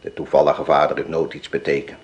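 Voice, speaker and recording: A sly old profiteer and father in a radio play. His voice is deep and he recounts things deliberately, with theatrical weight and calculation. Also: level tone, highs cut off. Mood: grave, knowing. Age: older. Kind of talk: radio drama acting.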